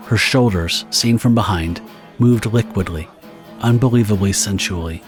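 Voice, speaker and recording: A man with a fairly deep voice reads scripted narration for a horror audio drama. He tells the pulp story as its narrator, with light plucked guitar music playing underneath.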